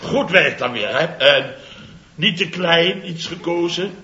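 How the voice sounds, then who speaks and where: A man's voice: a little high, unhurried, sharp tone, slight background noise. An actor playing a blustering male company boss who laughs through his lines, in 1960s radio comedy style, on a lo-fi old radio recording with audience laughter in a roomy hall. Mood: teasing. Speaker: boss of a building firm.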